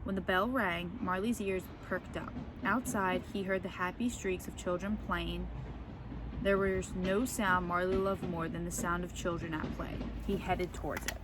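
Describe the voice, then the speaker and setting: A woman reading a children's picture book aloud as a teacher would, expressively acting out the story. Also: normal speed. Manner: expressive, acts out the characters' lines. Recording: clear background noise, ambient rumble.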